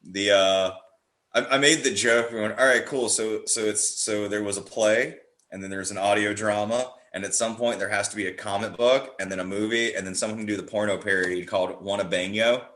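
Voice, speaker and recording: A man with a fairly low voice, recorded in a roomy, echoing space. He chats casually and wanders through his thoughts in a jokey storyteller's way, as a guest on a podcast. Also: fairly even intonation, brisk, a bit bright. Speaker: guest, horror playwright. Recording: roomy, echoey sound.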